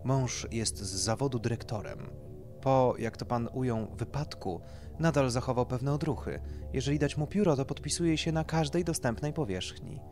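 A man voicing a wealthy woman in her forties, blasé and deadpan, in an audio story. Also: medium pitch, normal speed, soft background music, lightly acted. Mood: matter-of-fact.

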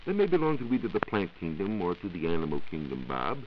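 A man's voice: low voice, wildly swooping pitch, quick pace. A man, a 1940s radio science reporter, giving scripted explanations in formal wording. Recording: dull, noisy 1940s radio recording.

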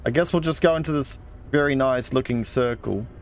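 Gravelly man muttering through a game. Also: mid-range voice, a little fast, a little hiss or hum. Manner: muttering to himself, thinking aloud. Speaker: gaming channel host. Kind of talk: casual let's play commentary. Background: game music.

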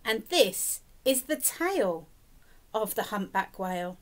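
Slow, lively woman reading a storybook. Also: medium pitch. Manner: animated, slow and deliberate, prompting young listeners. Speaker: teacher reading a picture book to young children. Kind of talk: expressive storybook read-aloud with questions to children. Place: indoors, small room with decorated wall.

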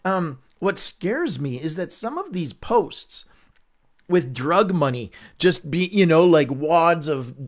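A man's voice: fairly high pitch, sing-song, slow. Earnest candid man leading Bible study. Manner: earnest, candid, conversational teaching; rambling with frequent restarts. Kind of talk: livestreamed Bible reading and discussion.

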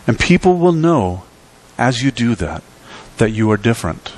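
A middle-aged man delivers a sermon in a church sanctuary. His voice sits at an ordinary pitch but climbs and falls dramatically as he stresses each phrase, then drops to near quiet at the ends of lines. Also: big pitch swings, normal speed. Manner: emphatic preaching with sweeping pitch and volume swings. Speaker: pastor.

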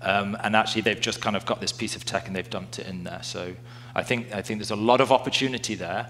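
A man's voice: fairly low pitch, steady tone, quick pace, fairly bright tone, a little hiss or hum. A man speaking on a conference panel, thoughtful and hesitant, with many restarts. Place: conference stage before an audience.

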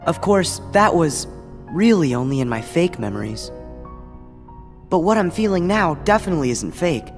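A man's voice: fairly high pitch, big pitch swings, moderate pace. A man playing an earnest, kind-hearted teenage boy hero in a game cutscene, sincere and reassuring. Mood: warm, encouraging. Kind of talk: animated game cutscene acting. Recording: long reverb, music behind.

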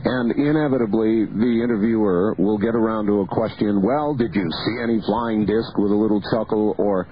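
A man hosting a late-night radio talk show, chatting with a guest and taking calls in a relaxed, conversational way. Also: on the low side, normal speed, few pauses. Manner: relaxed, conversational, lively intonation. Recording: muffled lo-fi radio broadcast, faint hiss.

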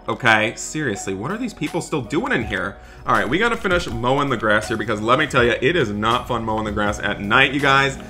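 A young man narrates roleplay gameplay in an emphatic, dramatic way. His voice is very bright and forceful at a middle pitch, and music plays behind him. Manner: dramatic, emphatic, repetitive exclaiming. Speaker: gaming video creator. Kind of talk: roleplay gameplay commentary for viewers. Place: indoors, voice-over on game footage.

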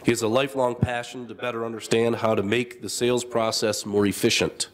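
A man moderating a business panel, reading out speaker introductions in a measured, formal way with small asides. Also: mid-range voice, flat delivery.

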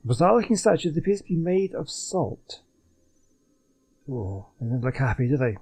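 A man giving casual gameplay commentary, thinking out loud and questioning himself as he goes. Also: medium pitch, big pitch swings, moderate pace, breathy edge. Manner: muses aloud, questioning himself. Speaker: gaming video host. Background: faint game music.

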